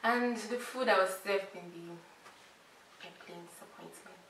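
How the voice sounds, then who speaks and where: A woman from Nigeria, a medical student, telling a lively story in casual chat. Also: medium pitch, a little slow, a little hiss or hum. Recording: echoey room, far from mic.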